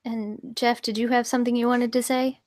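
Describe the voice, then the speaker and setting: A woman's voice: fairly high pitch, level tone, brisk, dry recording. A woman casually moderating a podcast panel, steering the discussion and inviting people to speak.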